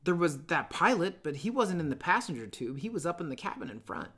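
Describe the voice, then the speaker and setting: A man's voice: a little high, sing-song, fairly quick, pushed and bright, husky, exaggerated voice. A man reading a storybook as an anxious, chatty, plump schoolboy who sounds eager and nervous.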